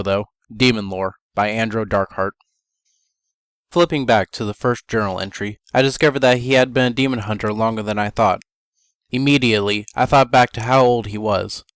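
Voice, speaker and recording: A man reading a first-person fantasy story as narrator, in a measured, bookish dramatic reading. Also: mid-range voice, clear, resonant tone, no echo.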